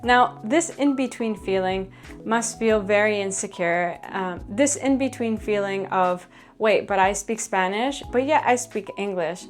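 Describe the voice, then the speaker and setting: An earnest young woman speaks straight to her viewers in a reflective personal vlog. Her voice sits at an everyday middle pitch, and her tone is encouraging and sincere. Soft music plays faintly behind her. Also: unhurried. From Canada. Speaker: vlogger.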